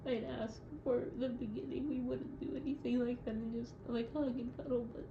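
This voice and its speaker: A young woman records a personal audio diary in a fairly high voice. She speaks haltingly and reflectively, searching for her words. The sound is dull and clearly echoey. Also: steady tone. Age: young adult. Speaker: audio diarist. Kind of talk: confessional audio diary monologue. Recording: muffled, echoey room with loud noise.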